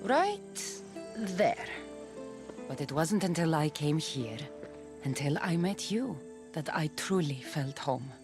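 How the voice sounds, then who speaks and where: A woman's voice: low voice, big pitch swings, slow pace, over music, heavily acted. A woman playing a weary but tender young mercenary mother in a video game cutscene, dry and affectionate.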